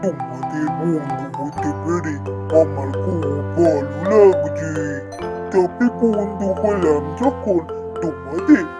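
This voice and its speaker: A man voicing a kindly talking tree in a children's fairy-tale cartoon, with a slow, reassuring manner. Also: fairly high pitch, loud music behind the voice. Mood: warm, generous. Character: kindly talking forest tree, gentle friendly giver.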